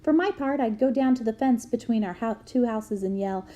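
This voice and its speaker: A woman reading a memoir aloud to students in a clear storytelling manner. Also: fairly high pitch, moderate pace, dull audio. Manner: clear storytelling, bursts into loud shouts for quoted yells.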